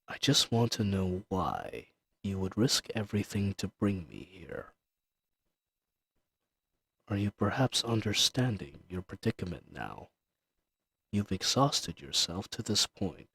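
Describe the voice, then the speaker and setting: A man's voice: fairly low pitch, big pitch swings, gravelly, a bit bright, breathy edge, no room sound, heavily acted. A man playing an ancient dragon in roleplay audio, imperious and teasing, dangerous yet tender, speaking to the listener in formal, old-fashioned phrases. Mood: haughty, taunting. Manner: slow, haughty, teasing, formal archaic diction.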